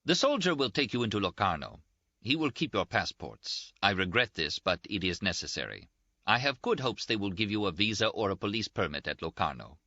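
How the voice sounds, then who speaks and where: A man's voice: fairly low pitch, sing-song, fairly quick, bright, forceful tone, no echo, full character voice. A man voicing a haughty, formal army lieutenant in clipped, officious audiobook dialogue, on a slightly dull, dry recording. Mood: polite, formal.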